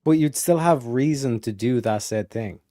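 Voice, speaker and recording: A man's voice: mid-range voice, normal speed, dark tone, no room sound, clean studio sound. A man arguing his points earnestly in a casual livestream debate as a guest.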